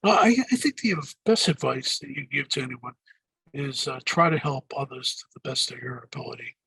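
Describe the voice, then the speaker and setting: An older man with a deep Boston accent, answering interview questions in a rambling way, with many fillers and restarts. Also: fairly quick, creaky, sharp tone, slightly rough, no echo, poor audio. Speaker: guest.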